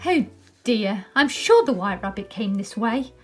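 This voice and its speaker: A woman's voice: mid-range voice, animated, unhurried, husky, soft background music. A British woman voicing a curious, polite young girl in a storybook, wondering and exclaiming to herself. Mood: puzzled, eager.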